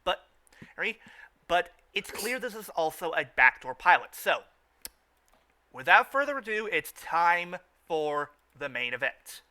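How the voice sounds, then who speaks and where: A man with a fairly high, very bright and forceful voice hosts casual fan commentary. He stammers often, circling back to repeat phrases before finishing a thought. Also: big pitch swings, a little slow. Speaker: host of a fan riffing show.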